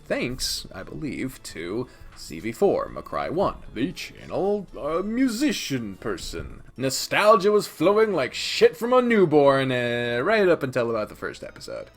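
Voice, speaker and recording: A man with a mid-pitched voice that moves up and down in a lively way. He talks casually as a reviewer, sardonic and quick to swear, often cutting into his own sentences. Faint music plays behind him. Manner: sardonic, sweary, self-interrupting. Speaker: video reviewer and podcast host. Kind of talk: casual opinionated countdown commentary to camera.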